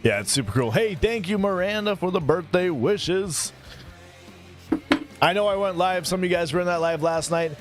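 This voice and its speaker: A man's voice: sits high, lively intonation, moderate pace, over music. A man in his mid-thirties chatting casually on a live stream, rambling between topics and thanking viewers by name. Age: 30s.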